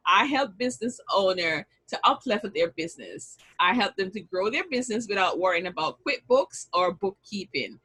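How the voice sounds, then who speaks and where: A woman's voice: medium pitch, very animated, moderate pace, gravelly, hardly any pauses, fairly bright tone, breathy edge, low-quality recording. A middle-aged woman with a Jamaican accent, hosting an online summit in a chatty, upbeat way and telling personal stories. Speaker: summit host. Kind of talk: casual online event introduction and storytelling.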